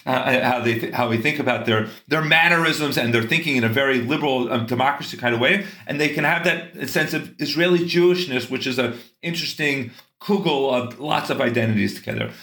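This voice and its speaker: Guest voice: earnest man, mid pitch, rambling restarts, echoey room. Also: fairly quick, sharp tone. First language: English. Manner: earnest, talks in long runs with many restarts. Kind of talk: casual interview conversation.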